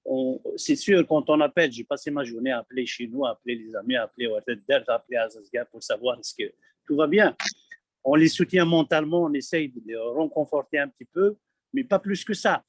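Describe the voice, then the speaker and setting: A man from Kabylie speaking abroad, giving an urgent, halting testimony full of repeated words. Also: medium pitch, husky, no room sound. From Kabylie, Algeria. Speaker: community member abroad. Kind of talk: impassioned testimony.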